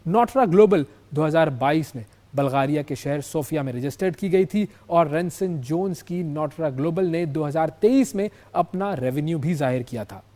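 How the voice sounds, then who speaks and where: Higher-pitched Pakistani male news host. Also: expressive, moderate pace, hardly any pauses, warm tone. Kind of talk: news presentation with explanatory commentary.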